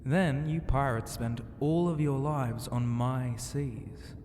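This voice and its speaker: A man voicing a flirtatious, regal sea dragon ocean god in an ASMR roleplay, teasing and grandly courteous, often dropping into a breathy whisper. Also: medium pitch, slow. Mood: smug, possessive. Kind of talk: ASMR roleplay addressed to the listener. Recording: long cavernous reverb, clear ambient noise.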